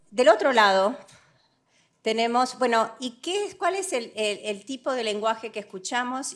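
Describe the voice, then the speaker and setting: A woman's voice: medium pitch, fairly bright tone. A woman from Argentina giving a semi-improvised conference talk, frank and emphatic, often correcting herself and mixing English words into her Spanish. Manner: halting, self-correcting, checks in with rhetorical tag questions. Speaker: conference speaker, development economist.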